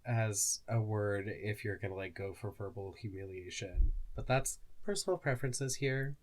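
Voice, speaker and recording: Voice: sits low, normal speed. A podcast co-host chatting casually about stories, phrasing things loosely with lots of "like". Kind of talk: casual chat discussing fan fiction.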